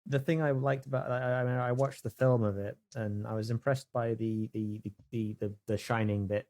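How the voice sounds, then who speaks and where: Halting man in casual chat. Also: mid-range voice, little pitch movement, darkish tone. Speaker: podcast co-host.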